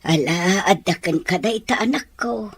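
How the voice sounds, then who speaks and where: A woman playing a loving, worried middle-aged mother with an Ilocano accent, gently pleading in an audio drama. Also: mid-range voice, normal speed, breathy edge. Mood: resigned, caring. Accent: Ilocano Filipino. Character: worried, loving poor mother of a teenage daughter.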